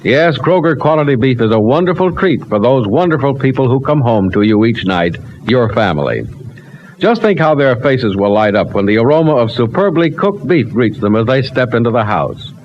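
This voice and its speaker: Old-timey radio ad man, salesy and animated, muffled hissy audio. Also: medium pitch, moderate pace. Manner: emphatic, salesmanlike. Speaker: commercial announcer. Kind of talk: 1940s radio ad pitch. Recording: dull, noisy old radio transcription.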